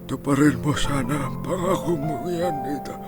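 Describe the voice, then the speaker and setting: A man's voice: on the high side, moderate pace, loud music behind the voice, lightly acted. A man playing a weary, despairing older Filipino grandfather in a radio drama, pleading melodramatically. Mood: weary, grave. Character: weary, despairing grandfather begging to end his suffering.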